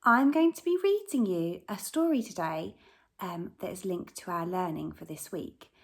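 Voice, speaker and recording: A woman's voice: lowish voice, moderate pace. A woman teacher reading a picture book aloud to young children, gasping and asking them questions as she goes. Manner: animated, gasps and asks the children questions. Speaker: primary school teacher.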